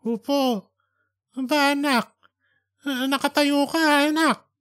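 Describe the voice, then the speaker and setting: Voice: sits high, steady tone, slow, a bit bright, pitched above the performer's own voice. A performer voicing a very old, devout father, tender and remorseful, with an emotional, pleading manner. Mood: surprised, tender. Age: elderly.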